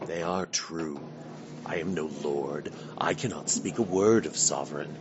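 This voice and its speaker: A man playing a weary, haunted fae lord in a fantasy audio drama, speaking formally and mournfully with halting, measured phrasing. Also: extremely low pitch, very noisy. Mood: solemn regret.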